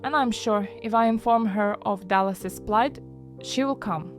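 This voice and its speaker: A courteous, modest warplane newcomer, acted by a woman, speaks formally at medium pitch with careful diction. Guitar music plays clearly behind this audio drama voice. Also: a little slow, natural voice. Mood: assured.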